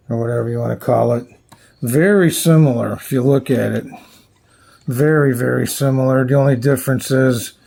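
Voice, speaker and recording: A man's voice: mid-range voice, vocal fry, a little mellow. A man talking casually and off the cuff as he demonstrates a gadget, rambling and muttering to himself. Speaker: radio reviewer, host. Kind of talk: casual unscripted gadget demo.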